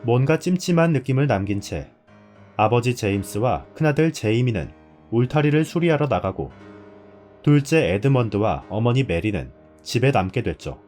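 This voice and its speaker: Lively male narrator over guitar music. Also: medium pitch, moderate pace. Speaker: host. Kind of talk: scripted narration.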